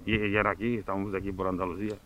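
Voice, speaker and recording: Deep-voiced older man, noisy street. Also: level tone, rapid-fire, fairly bright tone. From Portugal. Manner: earnest, repeats himself. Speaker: circus presenter. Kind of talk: casual interview answers.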